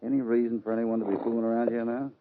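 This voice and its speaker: A man playing a calm, plain-spoken cowboy hero with a dry, easygoing manner in an old-time radio western. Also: mid-range voice, rapid-fire, slight background noise, lightly acted. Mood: steady, alert. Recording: dull old radio recording.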